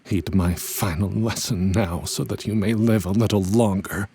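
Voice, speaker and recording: A man acts out an aging owl mentor in a fantasy game drama, stern and weighed down by remorse. The voice sits somewhat low and is extremely husky and rough. The words come out formal, grave and deliberate. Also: fairly even intonation, mellow. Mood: grave, resigned. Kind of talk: dramatic game fandub acting.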